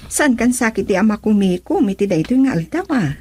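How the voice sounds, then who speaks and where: Husky, expressive Filipino mother. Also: mid-range voice, fairly quick, no echo. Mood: suspicious, probing. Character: mother, concerned and questioning wife. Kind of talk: Ilocano radio drama acting.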